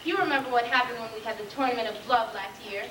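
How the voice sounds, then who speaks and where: A woman's voice: on the high side, fast talker, close to an everyday voice. A woman playing a demanding, short-tempered storybook queen in a stage comedy, haughty and curt. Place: school stage, live play performance. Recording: distant, noisy, echoey room recording of play.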